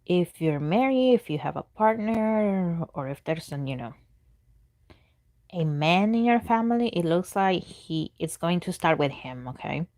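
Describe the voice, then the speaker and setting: Woman, native Spanish speaker, casual tarot reader, low voice, muses aloud while pulling cards. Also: animated, measured pace, darkish tone. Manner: chatty, thinking aloud, murmurs while reading cards. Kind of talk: live tarot reading, answering chat questions.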